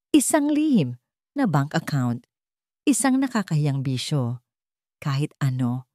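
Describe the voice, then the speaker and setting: Woman, dramatic scripted storytelling, slightly low pitch, animated intonation, dry close sound. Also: dry recording. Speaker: storyteller, narrator.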